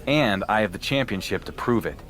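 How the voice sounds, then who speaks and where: A man's voice: fairly low pitch, lively intonation, a little fast, fairly bright tone. A man playing a cocky, defiant champion wrestler in a video game, confident and pointed in his talk. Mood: cocky, needling. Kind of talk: dramatic video game dialogue. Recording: faint background noise.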